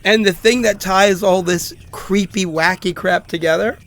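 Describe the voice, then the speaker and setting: An actor playing a bitter, wounded ex-convict in an audio drama monologue, halting and wry as he confides. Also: high-pitched, fairly even intonation, a bit bright, a little hiss or hum. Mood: intrigued, sardonic. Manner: halting, wry, muttering asides with chuckles.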